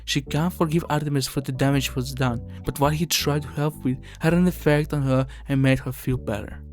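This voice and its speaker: A man's voice: medium pitch, steady tone, fairly quick. A man reading scripted video essay narration in an earnest, somber, explanatory way. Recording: music under the voice.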